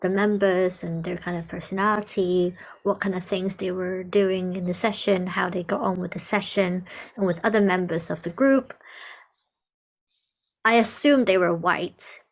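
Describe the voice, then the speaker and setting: Animated young woman from Hong Kong. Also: on the low side, measured pace, gravelly. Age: young adult. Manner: animated, laughs often, acts out quoted remarks. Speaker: music therapist. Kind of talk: conversational discussion.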